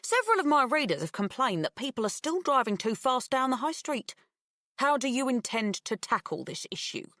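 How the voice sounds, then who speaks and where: A woman voicing an insistent male local reporter in an audiobook, formal and forceful. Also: high-pitched, sing-song, brisk, no echo, studio quality, voice pushed up. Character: insistent local newspaperman challenging the police.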